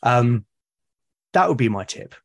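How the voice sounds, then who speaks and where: A man's voice: medium pitch, lively intonation, unhurried, creaky. A British man, a podcast guest, talking in a reflective, casual way and often restarting and repeating words mid-sentence. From Britain.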